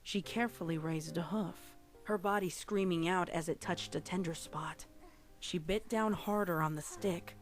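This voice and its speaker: Woman, lower register, dramatic fiction narration, scripted reading, background music. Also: moderate pace. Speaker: audiobook-style story narrator. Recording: music playing under the narration.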